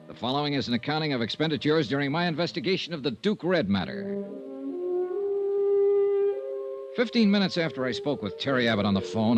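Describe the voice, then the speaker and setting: An actor playing a dogged, wry insurance investigator in old radio noir, direct and skeptical. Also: male voice, medium pitch, natural voice. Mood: clipped noir narration. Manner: hard-boiled, direct, skeptical. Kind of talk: 1950s radio noir acting and narration.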